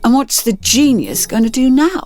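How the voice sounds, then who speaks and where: A woman's voice: a little high, sing-song, moderate pace, darkish tone, faint music behind, voice pushed up. A woman reading a story as a cheeky, sceptical teenage boy given to wry teasing. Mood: needling.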